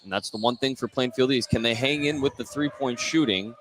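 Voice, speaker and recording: A man's voice: mid-range voice, a little fast, a crowd in the background. A man doing live play-by-play radio commentary of a basketball game. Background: indoor basketball game, bouncing ball.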